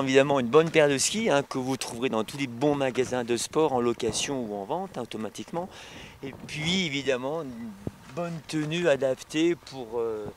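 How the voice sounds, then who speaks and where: Man, 50s, ski instructor, halting filler-heavy answers, average pitch, outdoor slope, handheld mic, faint background noise. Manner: halting, frequent "hein" and "évidemment" tags. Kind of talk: casual interview answers.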